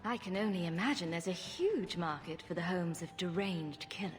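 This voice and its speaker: A woman playing a composed, wry adventurer in a fantasy video game, speaking in a measured way. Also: on the low side, expressive, soft background music, lightly acted. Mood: dry, resolute.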